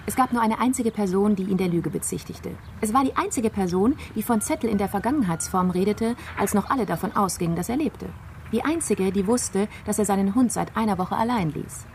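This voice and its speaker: An actress plays a female investigator in a radio crime drama. Her delivery is measured and methodical, at a middling pitch with a gravelly fry. Faint noise sits behind the voice. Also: darkish tone, natural voice. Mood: measured, certain. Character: methodical female investigator explaining how a murder case unfolded. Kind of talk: radio play acting.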